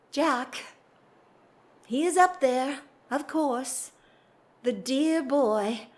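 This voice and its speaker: High-voiced, drawling bored society wife. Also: female voice. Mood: wistful. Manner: theatrical, wry, drawling with emphatic swells. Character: restless, bored aristocratic wife confessing candidly. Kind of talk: period drawing-room audio drama acting.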